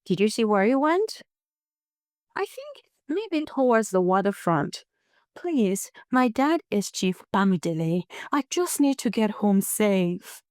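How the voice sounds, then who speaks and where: A woman's voice: mid-range voice, sing-song, slow, a little mellow, dry recording, studio quality. A woman storyteller voicing a rich young man who pleads breathlessly and overdramatically, pretending to be a frightened victim. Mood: feigned panic.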